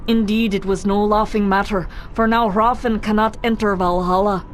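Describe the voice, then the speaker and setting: An actress playing a solemn female Valkyrie who speaks in a formal, measured manner in a video game cutscene. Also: medium pitch, fairly even intonation, slight background noise, natural voice. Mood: stern.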